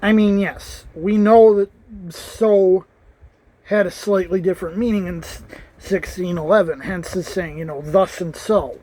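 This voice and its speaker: A man's voice: sits high, unhurried, mellow, poor audio. A man talking casually to camera in an earnest, rambling way, with restarts and asides. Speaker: vlogger.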